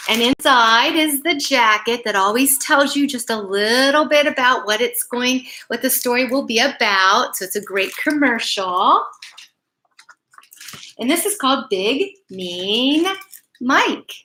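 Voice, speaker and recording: A woman reading a picture book aloud to children as a bedtime story, phrasing it deliberately and emphatically with little asides to her young listeners. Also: fairly high pitch, lively intonation, bright, forceful tone.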